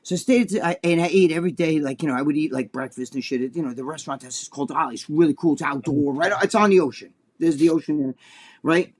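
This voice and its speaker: Profane, animated New Jersey man. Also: fast. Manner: animated, profane, acts out quotes in a high voice. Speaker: podcast co-host. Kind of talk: casual storytelling chat.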